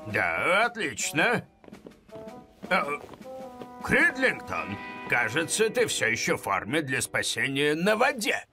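A man's voice: a little high, wildly swooping pitch, slow, pauses often, bright, forceful tone, faint music behind, full character voice. A man voicing a flustered, hesitant older fire station chief in a cartoon dub. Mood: hesitant, commanding.

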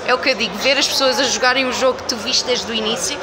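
A woman's voice: fairly high pitch, quick pace, a loud crowd. A woman in her twenties with a European Portuguese accent, giving enthusiastic, emphatic answers in a casual interview. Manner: enthusiastic, effusive, emphatic repetition. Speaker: game publisher community manager, interviewee. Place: indoor festival venue, by a booth wall. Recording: loud busy event-floor noise.

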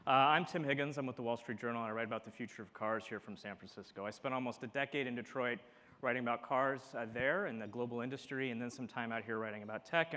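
Fast-talking male moderator. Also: mid-range voice, sharp tone, in a large hall. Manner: brisk, casual. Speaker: moderator, journalist. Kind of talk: panel moderation. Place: stage in an event hall.

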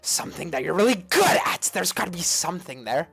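A woman putting on a giggling, unhinged male clown villain voice, with shrill cackles and sudden shouts, pranking strangers in a voice chat. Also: very animated, fairly quick, creaky, slightly rough, soft background music, exaggerated voice. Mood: mocking.

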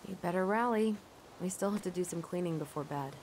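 A woman's voice: lowish voice, brisk, a little mellow, a little hiss or hum, lightly acted. An actress playing a guarded, reluctant young American woman worn down by grief in a video game. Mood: calm. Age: young adult. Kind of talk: video game cutscene acting. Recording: game dialogue with music behind.

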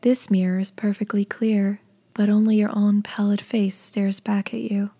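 A detached horror game narrator read by a woman. The voice is dark, soft and breathy, with very little movement in pitch. The delivery is hushed and eerie. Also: flat delivery, normal speed.

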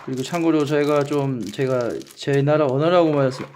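A man's voice: a little high, steady tone, normal speed, background noise. A man with a Gyeongsang accent hosting a casual unboxing vlog, joking at his own expense and tripping over his words. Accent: Gyeongsang Korean. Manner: joking, self-mocking, trips over words. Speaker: channel host.

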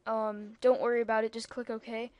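Young-sounding girl with a high voice. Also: little pitch movement, normal speed, a little mellow, dry recording. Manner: rambling, many ums, chatty asides. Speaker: tutorial maker. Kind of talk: casual screen-recording tutorial.

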